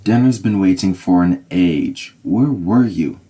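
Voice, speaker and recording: Someone doing a serious scottish lord, super low dark voice, steady. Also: a little slow. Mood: solemn. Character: grave Scottish nobleman lord Macduff. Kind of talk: fan audio drama acting.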